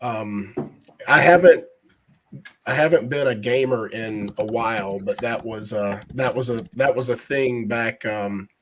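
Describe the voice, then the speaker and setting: A middle-aged man guesting on a podcast, slightly hoarse, speaking in an easygoing, halting way with fillers. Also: medium pitch, a bit bright, slight background noise. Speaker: panel guest. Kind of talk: casual chat.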